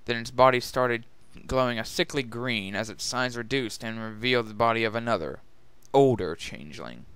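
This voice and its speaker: A man's voice: medium pitch, normal speed, hardly any pauses, a bit bright, clear, resonant tone, no echo. A man narrating a scripted story as a dramatic reading. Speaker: narrator and reader.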